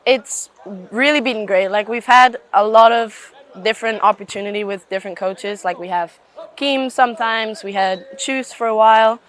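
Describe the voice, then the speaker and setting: A woman's voice: mid-range voice, fairly bright tone. Chatty eighteen-year-old woman from Scotland. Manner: chatty, leans on "like" fillers. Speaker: student athlete. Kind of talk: casual testimonial interview.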